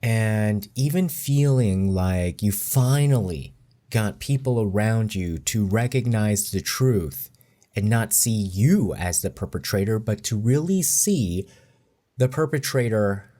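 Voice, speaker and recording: A man giving casual commentary in a deliberate, measured way. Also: fairly low pitch, animated, dark tone. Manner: deliberate, measured delivery with occasional laughs. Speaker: co-host commentator.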